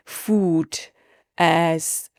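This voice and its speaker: A woman's voice: fairly low pitch, fairly even intonation, extremely slow, no room sound, studio quality. A woman in her late thirties with a Czech accent, a yoga teacher chatting as a podcast guest in an earnest, reflective way, often restarting her sentences. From Czech Republic. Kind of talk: casual chat.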